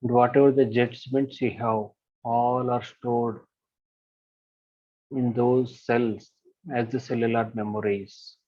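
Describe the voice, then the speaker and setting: A male meditation guide talks listeners through a body scan at a very slow, unhurried pace. His pitch is ordinary, and he repeats short affirmations in a soft, gentle way. The recording sounds dull and lo-fi. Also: flat delivery.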